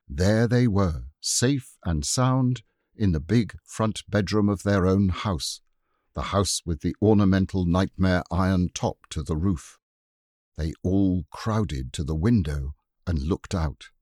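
A man narrating a children's classic audiobook in an expressive, measured storytelling manner. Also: deep voice, dry recording, studio quality. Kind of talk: scripted narration.

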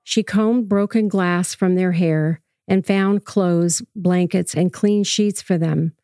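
A woman reading a scripted first-person story as narrator, in a measured, reflective way. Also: lowish voice, fairly even intonation, mellow, no echo, clean studio sound.

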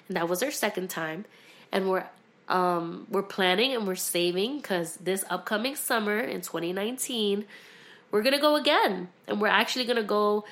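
Effusive young woman, slightly low voice. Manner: effusive, earnest. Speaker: podcast host. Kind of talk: casual solo podcast talk.

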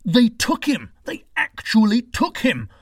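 A man voicing a furious young shepherd's son who shouts in a raised, strained voice. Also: very high voice, wildly swooping pitch, a little slow, no echo, clean studio sound, voice pushed up, heavily acted. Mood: raging. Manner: raging, shouting.

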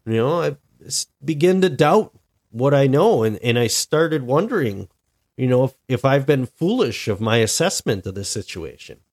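A man talks alone into his podcast mic, a little stuffy and run down from being sick. He speaks at a middle pitch and thinks aloud in a measured, deliberate way, often doubling back on his words. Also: big pitch swings, a little mellow. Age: adult, married. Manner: deliberate, reflective, with frequent restarts. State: stuffy, sick with a cold-like illness. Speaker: podcast host. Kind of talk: solo podcast monologue.

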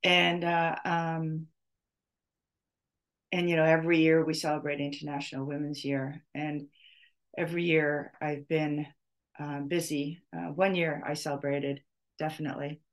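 A middle-aged woman hosting a podcast, speaking off the cuff and haltingly, often searching for her words. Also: low-pitched, flat delivery. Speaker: podcast host. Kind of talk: off-the-cuff spoken reflection.

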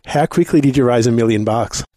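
A man's voice: mid-range voice, a little fast, warm tone, dry recording. A man with an Australian accent doing a casual interview, matey and encouraging in the way he asks questions.

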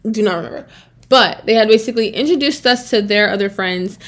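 Young woman, podcast host, chatty, rambling, slight cold, mid pitch. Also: a little fast, fairly bright tone. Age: young adult. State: has a cold, throat clearing. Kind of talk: casual solo podcast chat.

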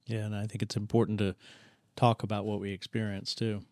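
A man co-hosting a podcast interview, speaking in a measured, reflective way with many fillers. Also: on the low side, level tone, darkish tone, no echo, studio quality. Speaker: podcast co-host. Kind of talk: conversational interview.

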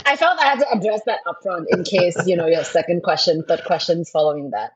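A woman from Thailand in her thirties, a startup co-founder who explains things in an animated, emphatic way on an interview podcast. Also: lowish voice, a little fast, highs cut off, crowd noise. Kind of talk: interview answers.

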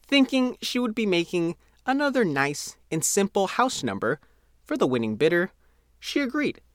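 A man's voice: sits high, no room sound, studio quality. A man reading a scripted local feature article as its reporter and narrator.

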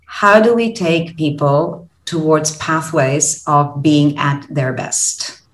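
A woman's voice: low voice, slow pace. A woman from Croatia, guest on a podcast, explaining her field earnestly and thoughtfully, with hesitant fillers. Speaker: guest, positive psychology lecturer and consultant. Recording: roomy echoey sound.

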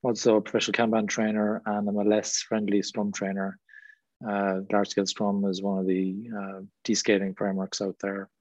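A man's voice: lowish voice, completely flat delivery, moderate pace, darkish tone, no room sound. A middle-aged Irish man speaking as a guest trainer in a webinar, discursive with frequent fillers. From Ireland. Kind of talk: webinar Q&A talk. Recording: dull lo-fi sound.

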